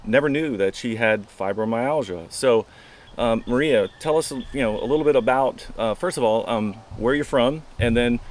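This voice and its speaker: Male interview host, mid-range voice, informal and encouraging, slight background noise. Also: mid-range voice. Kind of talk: casual interview chat.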